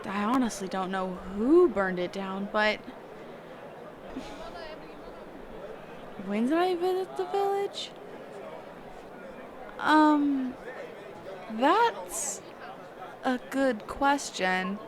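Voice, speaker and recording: A woman voicing a reclusive, socially awkward mage teacher in a roleplay audio, speaking hesitantly and evasively with trailing pauses and hums. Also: sits high, big pitch swings, a crowd in the background, background noise, full character voice. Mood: defensive, flustered. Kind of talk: character roleplay audio, one side of a dialogue.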